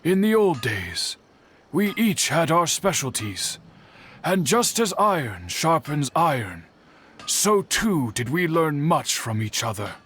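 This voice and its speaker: An actor playing a courteous, solemn middle-aged swordsmith in a video game, speaking formally and earnestly. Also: male voice, a little high, big pitch swings, takes it slowly, a bit bright, a little hiss or hum, exaggerated voice. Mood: solemn, reverent.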